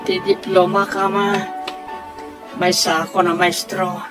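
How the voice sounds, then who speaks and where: A woman's voice: on the low side, little pitch movement, takes it slowly, over music. A woman acting in an Ilocano radio drama as an earnest grown child who pleads solemnly and emphatically for peace with warring parents. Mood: earnest, pleading.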